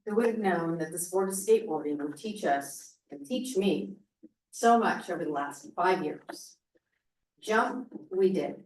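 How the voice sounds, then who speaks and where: A woman reads a prepared public comment at a community meeting, projecting loudly. She sits a little away from the mic, and the room throws back a strong echo. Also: on the low side, lively intonation, unhurried. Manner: loud, projecting voice. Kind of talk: reading a prepared statement. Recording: echoey meeting room, voice from a distance.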